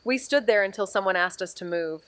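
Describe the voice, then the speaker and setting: A wry, world-weary female investigator played by a woman in a noir mystery podcast. Her voice sits at a middle pitch, and she speaks in a measured, deadpan way, as if telling a grim case. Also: heavy background noise, natural voice. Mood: somber, reflective. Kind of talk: scripted noir audio drama, narration and dialogue.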